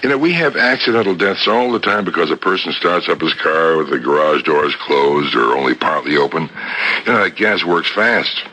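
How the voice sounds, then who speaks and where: An actor in a 1970s radio drama playing a pragmatic, skeptical police captain with a brusque, matter-of-fact conversational manner. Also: male voice, a bass voice, animated, normal speed, bright, forceful tone, heavily acted. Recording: noisy old radio broadcast recording.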